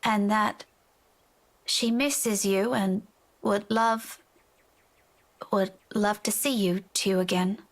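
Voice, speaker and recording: A woman's voice: mid-range voice, steady tone, slow, long pauses. A woman voicing a dutiful young adult daughter in a visual novel, light and reassuring. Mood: gently informative.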